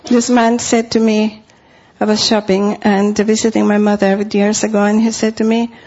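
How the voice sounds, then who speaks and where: A woman's voice: medium pitch, level tone, normal speed, darkish tone, muffled recording. A middle-aged woman from Costa Rica with a Spanish accent, preaching a sermon in an earnest way and repeating phrases for emphasis.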